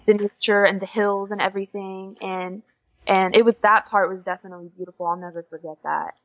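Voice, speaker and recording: A woman's voice: mid-range voice, steady tone, fairly quick. A young American woman from Oklahoma chatting casually as a guest in an interview. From Oklahoma, United States. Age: young adult. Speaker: podcast guest, exchange student. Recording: dull lo-fi audio.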